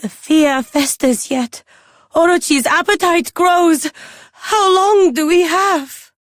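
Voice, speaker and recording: An actress playing a terrified village woman in a video game, speaking in halting, dread-filled phrases. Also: very high voice, no echo, studio quality. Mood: fearful. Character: terrified village woman living under a monster's rule.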